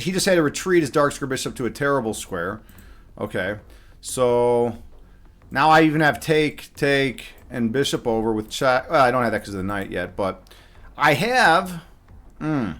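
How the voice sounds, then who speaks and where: A man's voice: mid-range voice, very animated, moderate pace, fairly bright tone. A man giving casual live commentary on his own game as a streamer, muttering half-finished thoughts to himself as he thinks aloud.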